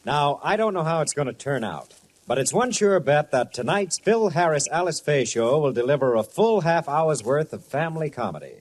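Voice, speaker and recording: A man's voice: fairly high pitch, big pitch swings, normal speed. A man with an American accent reading scripted announcements and narration in 1950s radio style. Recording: old network radio broadcast.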